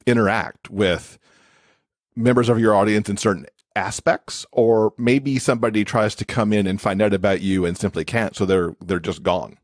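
A man's voice: fairly low pitch, steady tone, normal speed, dry recording, studio quality. A man being interviewed as an expert guest, explaining things conversationally with everyday examples. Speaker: podcast guest, accessibility expert and author.

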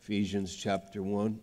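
A middle-aged man preaching from the pulpit of a large church. His voice sits a little low and he talks slowly and deliberately, backing up to rephrase as he explains. Recorded on a headset mic. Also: darkish tone. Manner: explanatory, restarts mid-phrase, gestures broadly. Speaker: pastor. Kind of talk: sermon. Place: large church sanctuary, indoors.

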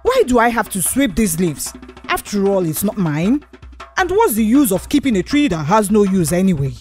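Woman playing exasperated village neighbour, very animated. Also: medium pitch, moderate pace, faint music behind, close to an everyday voice. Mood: exasperated, insistent. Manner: exasperated, insistent. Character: fed-up, complaining village man, a neighbour.

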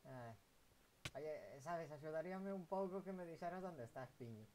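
A man's voice: on the high side, expressive, moderate pace, warm tone. A young man with a Galician accent, bantering and teasing casually while streaming a game. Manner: teasing, joking, mixes Galician and Spanish. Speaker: gaming streamer. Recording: clear background noise on voice chat.

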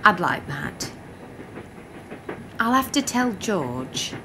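A woman's voice: mid-range voice, sing-song, very slow. A woman playing a bemused, blunt girlfriend in a radio play, questioning in a matter-of-fact way. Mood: frank, coaxing. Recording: faint train noise behind.

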